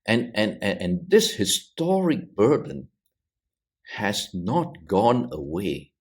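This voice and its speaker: This is a middle-aged man from Malaysia who speaks very slowly and keeps stopping to restart his sentences. His low-ish voice swings widely and expressively in pitch. He sounds like a theologian working through an idea on a panel. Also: big pitch swings. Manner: halting, with many restarts and repeated words. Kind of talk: thoughtful discussion on a video podcast.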